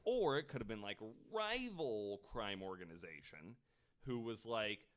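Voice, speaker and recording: A man's voice: on the low side, sing-song, measured pace, a bit bright. A man podcast host telling a story in a casual, informal way with some swearing. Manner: informal, profane.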